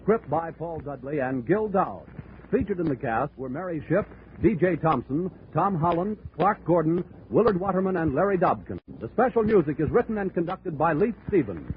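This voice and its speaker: A man's voice: on the high side, big pitch swings, moderate pace, slight background noise. A male radio announcer reading credits and a promo in polished 1940s style. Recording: tinny 1940s radio broadcast with music.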